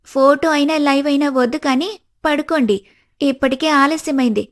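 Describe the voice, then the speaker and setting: A woman playing a coy, sharp-tongued, money-minded young woman in scripted cartoon story dialogue. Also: high voice, moderate pace, natural voice. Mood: coy, teasing.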